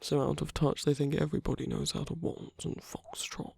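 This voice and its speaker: A man playing a suave, teasing villain in an intimate ASMR roleplay, murmuring softly and often slipping into whispers. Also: on the high side, steady tone, a little fast, no room sound, studio quality, exaggerated voice. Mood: sly, flirtatious. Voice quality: soft, breathy. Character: suave, teasing male villain at a royal masquerade.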